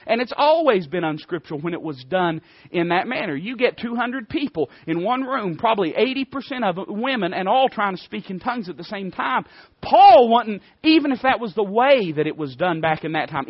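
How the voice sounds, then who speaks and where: A man's voice: high voice, no echo, lo-fi sound. A man with an Appalachian accent preaching an impassioned sermon in a folksy, emphatic manner with rhetorical questions. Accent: Appalachian American. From Appalachia, United States.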